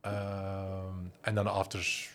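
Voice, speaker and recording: A man's voice: low voice, monotone, slow, fairly bright tone, a little hiss or hum. A man in his forties with a Flemish accent, a Dutch speaker talking about his career in an interview, hesitant and full of restarts. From Flanders, Belgium. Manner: hesitant, many restarts and fillers. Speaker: guest, design school director and musician. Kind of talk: casual interview talk.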